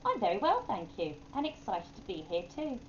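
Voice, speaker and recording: A woman's voice: mid-range voice, wildly swooping pitch, moderate pace, lo-fi sound, a little hiss or hum. A woman voicing a friendly, confident male owl celebrity in a storybook, speaking articulately. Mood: friendly, proud.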